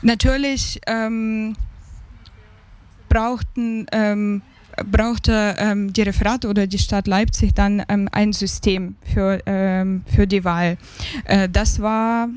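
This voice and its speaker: A woman in her thirties speaks with a Ukrainian accent at a very unhurried pace. She is an interview guest answering off the cuff, often hesitating as she searches for the right words. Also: mid-range voice, flat delivery, a little mellow, smooth, dry recording. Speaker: interview guest, advisory board member.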